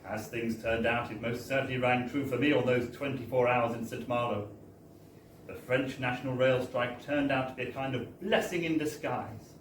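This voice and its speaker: A man's voice: medium pitch, moderate pace. A man giving a theatrical, wry live reading of a comic first-person story, with emphatic tag phrases. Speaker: reader of a story at a book launch. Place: bar, live event. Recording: roomy, distant live recording with background noise.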